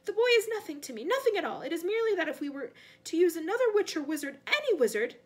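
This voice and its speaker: A squeaky, very high-pitched servant from a storybook, acted by a woman. He is a timid coward, fawning and pleading with nervous deference. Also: very animated, quick pace. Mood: frantic pleading.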